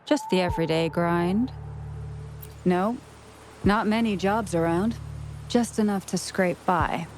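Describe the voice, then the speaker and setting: A woman's voice: lowish voice, slow, long pauses, a little mellow, a little hiss or hum. An actress playing a guarded, streetwise female exile in a video game, talking in a casual, blunt, offhand way. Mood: offhand, wry.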